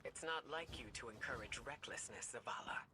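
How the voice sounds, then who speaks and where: A woman playing a wise, cautious leader in a video game, measured and formal as she admonishes with concern. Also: rumbling low. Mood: concerned, reproving. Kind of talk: video game dramatic dialogue. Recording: game audio under effects noise.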